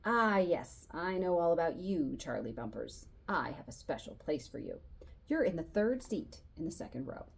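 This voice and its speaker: A woman voicing a strict, sarcastic female schoolteacher in a storybook, slow and mock-sweet with a threatening edge. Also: low-pitched, sing-song, creaky, husky, full character voice. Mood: sly menace.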